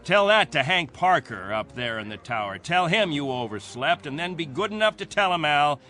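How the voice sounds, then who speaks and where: A man acting in a radio drama as a stern, commanding captain, speaking with measured, sardonic authority. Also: on the high side, sing-song, bright, forceful tone. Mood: stern reproach. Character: stern, commanding male captain of a stranded settlement.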